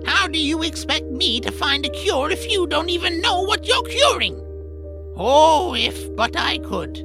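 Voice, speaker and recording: A woman voicing a sly, haughty old crone witch in an audio drama, with a drawn-out, theatrical delivery. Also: high-pitched, sing-song, music in the background. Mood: exasperated, lecturing. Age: older.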